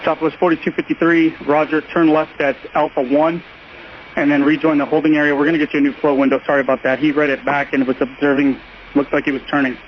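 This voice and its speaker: Rapid-fire male tower controller on radio. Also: fairly high pitch, flat delivery. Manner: clipped, procedural phraseology. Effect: aviation radio. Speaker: air traffic tower controller. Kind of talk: radio air traffic control instructions. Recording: muffled, lo-fi radio with faint noise.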